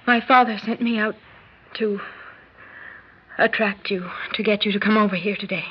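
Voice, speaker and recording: An actress playing an earnest young woman, a rich man's daughter, pleading softly in an old radio drama. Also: mid-range voice, steady tone, moderate pace, a little hiss or hum, lightly acted. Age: young adult. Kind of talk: 1960s radio drama acting. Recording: dull lo-fi old radio recording.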